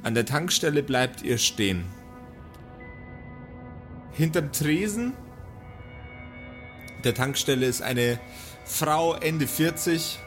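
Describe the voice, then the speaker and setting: High-voiced man as game master over music. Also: expressive, takes it slowly, pauses often. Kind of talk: improvised narration of a tabletop audio game. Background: background music.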